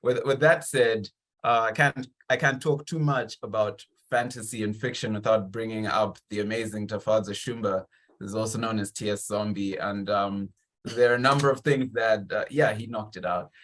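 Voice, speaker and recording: A man from Zimbabwe hosting a conversational interview, effusive and a little halting as he builds his questions. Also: on the low side, steady tone, fairly bright tone.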